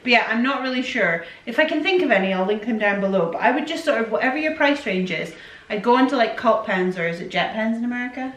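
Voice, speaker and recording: A woman's voice: a little high, expressive, fast, a bit bright. Chatty woman, distant in an echoey room. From Scotland. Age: 40s. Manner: rambling, chatty, self-interrupting. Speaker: vlogger. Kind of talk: casual Q&A chat. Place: home room.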